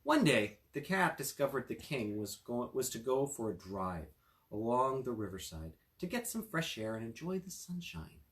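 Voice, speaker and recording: Very animated male storyteller. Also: mid-range voice, moderate pace. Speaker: storyteller, attorney. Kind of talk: animated children's story reading with casual asides.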